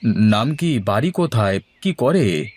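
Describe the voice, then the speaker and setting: A man's voice: mid-range voice, very animated, takes it slowly, a little mellow. A man voicing a middle-aged village father in a moral story cartoon, anxious to get his daughter married. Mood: curious.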